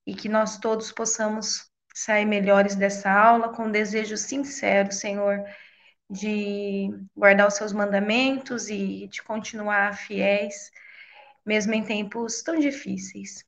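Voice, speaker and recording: A woman leading a spoken prayer in a reverent, measured way. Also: medium pitch, level tone, fairly bright tone. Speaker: church member leading prayer. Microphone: video call.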